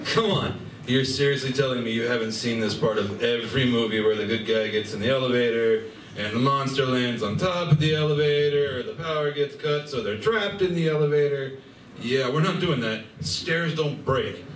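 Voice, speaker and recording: A man's voice: mid-range voice, moderate pace, close to an everyday voice. A man acting a jittery, wisecracking animal gunslinger with a sarcastic, exasperated delivery in a live radio play. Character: jittery wisecracking male furry gunslinger with a drinking habit. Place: live stage reading at a convention. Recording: distant mic, echoey noisy convention room.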